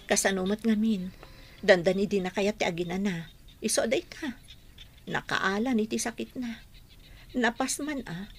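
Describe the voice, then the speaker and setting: A woman's voice: mid-range voice, moderate pace, pauses often, close to an everyday voice. A woman acting a worried, burdened wife in a Filipino radio drama, with a Filipino accent. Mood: troubled. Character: worried, burdened wife confiding her marital troubles.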